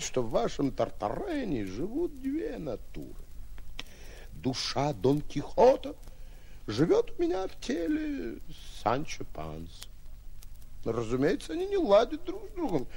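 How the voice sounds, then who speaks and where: An actor playing a pompous, excitable braggart hero in a theatrical, declamatory 1940s radio style, full of exclamations and sighs. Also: male voice, on the high side, creaky, heavily acted. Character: pompous, excitable male would-be hero, comically torn braggart. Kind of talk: 1940s radio play acting. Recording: noisy 1940s radio recording.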